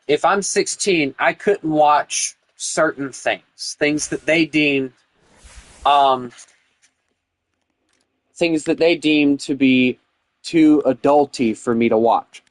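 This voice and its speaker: A man's voice: mid-range voice, no echo. A young man with a Southern US accent, chatting casually with viewers while gaming, rambling and opinionated with lots of fillers. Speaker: gaming streamer. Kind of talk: casual gameplay chat with viewers.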